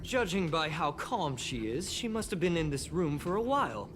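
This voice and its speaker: Animated, excitable young researcher. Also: female voice, deep voice, normal speed, over music. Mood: curious, exasperated. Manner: expressive, with sighs, gasps and exclamations. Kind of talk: game dialogue acting.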